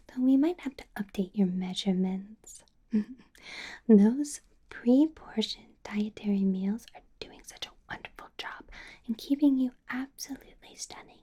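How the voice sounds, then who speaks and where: Woman playing a whispering, possessive vampire boss. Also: fairly high pitch. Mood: coaxing, possessive. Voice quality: breathy, soft whisper. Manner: coaxing, condescending, controlling sweet talk. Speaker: ASMR roleplay performer. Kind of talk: ASMR roleplay, close whispered personal attention.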